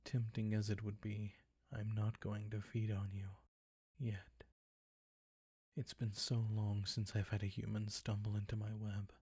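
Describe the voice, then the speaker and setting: Man acting a low-pitched seductive vampire count, very breathy and husky, predatory, possessive, silky teasing, intimate audio roleplay. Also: completely flat delivery, dark tone, no echo, clean studio sound. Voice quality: husky, breathy, often near a whisper. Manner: slow, deliberate, silky teasing, commanding.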